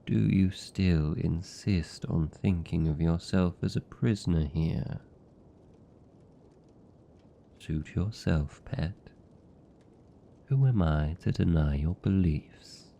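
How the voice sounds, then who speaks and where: A man's voice: deep voice, vocal fry, mellow, exaggerated voice. A British man playing a seductive, possessive vampire captor in an intimate fantasy ASMR roleplay, teasing and condescending in manner. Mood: smug, possessive. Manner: slow, teasing, condescending, velvety menace.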